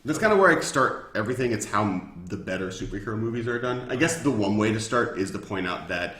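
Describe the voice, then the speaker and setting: A man's voice: on the low side, expressive, quick pace, a bit bright. A man explaining lore as a podcast guest in casual, informal talk peppered with "like" and "kinda". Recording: roomy, echoey sound.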